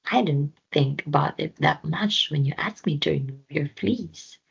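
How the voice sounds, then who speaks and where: A woman's voice: low-pitched, unhurried, gravelly, slightly rough, dull audio, full character voice. A woman voicing a small, proud, dutiful guardian bird in a children's storybook, matter-of-fact. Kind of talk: children's storybook read-aloud.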